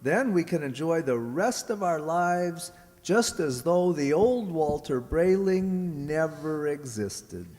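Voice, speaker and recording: An actor playing a scheming robot posing as a suave husband in a radio play, smooth and coolly menacing. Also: male voice, fairly high pitch, sing-song, takes it slowly, hardly any pauses, darkish tone, faint music behind. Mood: secretive, resolved. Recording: echoey hall, stage radio play.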